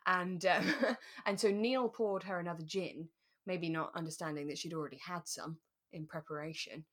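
A British woman co-hosting a relaxed chat podcast, telling stories with acted-out asides. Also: fairly low pitch, moderate pace. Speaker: podcast co-host. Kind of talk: relaxed chat about a radio drama.

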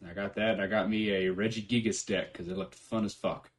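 Male podcast host, low-pitched, relaxed and profane, quick to laugh, home computer mic. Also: a little hiss or hum. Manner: laid-back, swears freely, laughs often. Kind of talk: casual chat.

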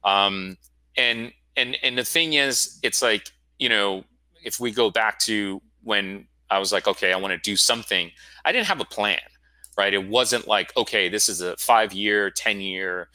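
Deep, bright-voiced man in his forties. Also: measured pace, no room sound. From Florida. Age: 40s. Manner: rambling storyteller. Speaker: guest, school founder. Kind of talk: casual interview.

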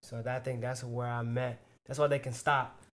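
A man's voice: medium pitch, level tone, moderate pace, heavy background noise. A man from the US East Coast, a guest on a podcast, chatting casually and arguing his point by repeating words for emphasis. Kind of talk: casual chat.

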